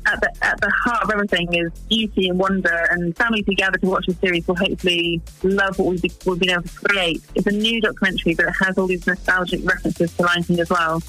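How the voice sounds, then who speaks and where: A bright, clear-toned woman in her forties talks earnestly as an interview guest, often restarting phrases as she searches for words. She grew up in East Africa. Faint music sits under her voice. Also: fairly low pitch, steady tone, quick pace, hardly any pauses, low-quality recording. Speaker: wildlife filmmaker.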